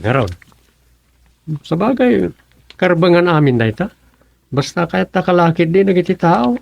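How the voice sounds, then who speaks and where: A man's voice: high-pitched, lively intonation, normal speed, gravelly, highs cut off. A man acting a grumbling, ambitious townsman in a radio comedy drama. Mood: brooding. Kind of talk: radio serial comedy drama dialogue in Ilocano.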